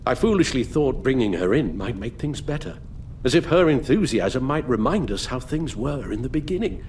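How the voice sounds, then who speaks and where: An actor playing an elderly British butler in a video game, formal and measured, weary and full of sorrow. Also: male voice, medium pitch, big pitch swings, darkish tone, slight background noise, natural voice. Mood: weary regret. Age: older. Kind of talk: dramatic video game acting.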